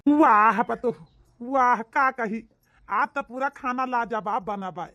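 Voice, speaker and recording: A woman plays a high-voiced village in-law from a family comedy cartoon. The speech has an Awadhi accent and a folksy, emphatic manner, circling back over its points. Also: slow, bright, forceful tone, dull audio. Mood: delighted.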